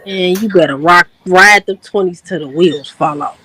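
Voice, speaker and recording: A woman's voice: fairly low pitch, moderate pace, pushed and bright, slightly rough, rough recording. A woman in her late thirties from Chicago, chatting candidly and casually on an online panel in slangy, laid-back talk. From Chicago, USA. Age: 30s. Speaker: panel guest.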